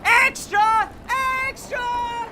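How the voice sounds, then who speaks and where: A woman playing a brash, eager child newspaper seller, hawking loudly in a 1920s-style radio drama. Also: very high-pitched, fairly even intonation, very slow, full character voice. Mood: urgent hawking. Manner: loud hawking calls. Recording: loud city street noise.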